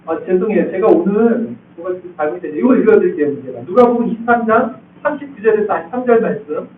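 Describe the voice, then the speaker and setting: A man's voice: high-pitched, animated, quick pace, slight background noise. A male pastor preaching a conversational expository sermon, often asking the congregation questions. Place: church sanctuary. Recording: echoey hall, distant tinny sound.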